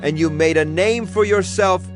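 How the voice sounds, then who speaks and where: An actor playing a devout Levite praying aloud to God, solemn and declamatory, in a dramatized scripture reading. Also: male voice, high voice, normal speed, music in the background, lightly acted. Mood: solemn reverence.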